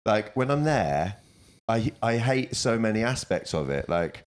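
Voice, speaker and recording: Loud young guy on a podcast, deep voice, swings up and down a lot, swears a bunch. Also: unhurried. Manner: loud, lively storytelling with swearing. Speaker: podcast co-host. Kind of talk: casual chat.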